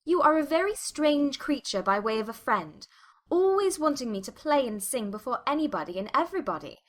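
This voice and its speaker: An actress playing a spirited, self-possessed young gentlewoman in a dramatized audiobook, arch and articulate. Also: sits high, very animated, a little fast. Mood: playful.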